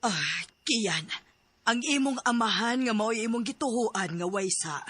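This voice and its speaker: Woman as a soft breathy mom in a radio drama, bright tone, pleading gently. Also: a little high, expressive, a little slow, heavily acted. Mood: explaining. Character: patient, pleading mother of a grown son. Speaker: mother.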